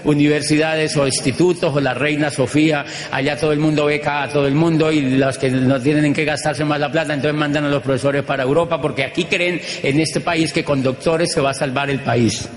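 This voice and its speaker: A middle-aged Colombian man with a Colombian accent, giving an animated storytelling talk to an audience, using rhythmic repetition. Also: on the high side, a little fast, hardly any pauses. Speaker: motivational speaker. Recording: echoey hall with long reverb.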